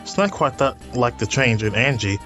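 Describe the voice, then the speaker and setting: A man doing a casual let's play, reading visual novel text aloud haltingly and adding amused asides. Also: medium pitch, animated, fairly bright tone. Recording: music playing behind the voice. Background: music.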